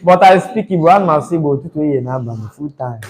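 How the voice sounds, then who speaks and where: A man's voice: a little high, expressive, unhurried, darkish tone. A young Nigerian man with a Nigerian accent, teaching a chemistry class live, chatty and teasing, slipping into Pidgin. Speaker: chemistry tutor.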